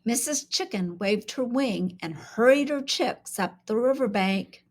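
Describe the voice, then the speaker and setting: Expressive, husky woman reading a storybook. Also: a little high. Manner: expressive, pauses to ask questions. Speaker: storybook reader at a school. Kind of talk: interactive children's storybook read-aloud.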